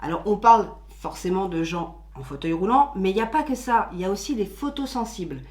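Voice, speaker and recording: A woman's voice: medium pitch, expressive, fairly quick. A woman, co-hosting a channel and explaining things patiently in casual chat. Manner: chatty, explains patiently with filler words. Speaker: co-host of a theme-park channel. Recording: echoey room.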